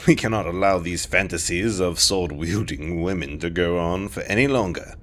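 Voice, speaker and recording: A man's voice: low voice, moderate pace, fairly bright tone, slight background noise. A man playing a haughty, disdainful king in a fantasy audio drama, with a pompous, condescending manner. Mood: smug, scornful. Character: haughty, disdainful king, imperious and old-fashioned.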